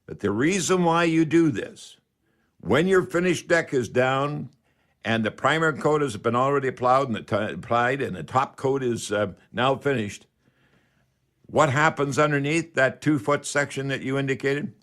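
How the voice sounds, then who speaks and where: A man's voice: medium pitch, moderate pace, fairly bright tone. A man hosting a radio call-in show, giving unscripted, instructive how-to advice and repeating key phrases for emphasis.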